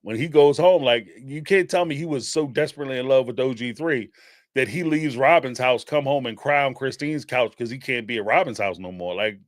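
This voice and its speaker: A man's voice: mid-range voice, fairly quick, no room sound, clean studio sound. A man with a Philadelphia accent hosting a live-stream show, talking casually with many fillers and frequent laughs. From Northeastern United States. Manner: animated and rambling, laughs often, many fillers. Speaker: live-stream show host taking call-ins.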